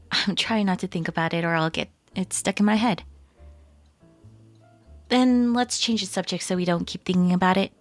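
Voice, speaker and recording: Woman playing chatty female best friend, teasing and expressive, casual roleplay banter, dry close sound, music behind. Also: mid-range voice, dry recording, lightly acted. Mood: cheerful.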